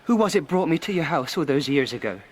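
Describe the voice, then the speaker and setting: A man's voice: a little high, lively intonation, quick pace. An actor playing a troubled young stable boy in a radio drama, earnest, insistent and questioning. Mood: defiant, questioning. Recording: faint background noise.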